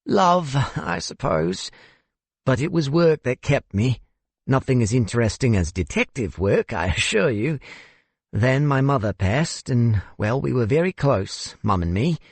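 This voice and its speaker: A man voicing a courteous, wistful older gentleman with a South African accent and a theatrical, hesitant manner in an audiobook. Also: mid-range voice, sing-song, unhurried, slightly rough, no room sound, pitched above the performer's own voice, exaggerated voice. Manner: theatrical, hesitant, old-fashioned politeness.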